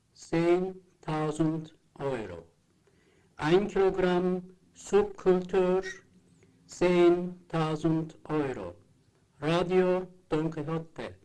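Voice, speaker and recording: Man slowly chanting repeated slogans. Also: sits high, mellow, rough recording. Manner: repetitive, chant-like.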